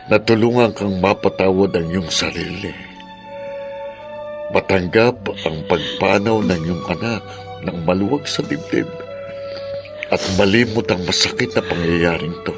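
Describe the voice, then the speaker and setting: Deep-voiced, gentle Filipino father. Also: measured pace, gravelly. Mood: earnest consoling. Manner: earnest, counselling. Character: gentle, devout, consoling father of a grown daughter. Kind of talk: Filipino radio drama acting. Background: music.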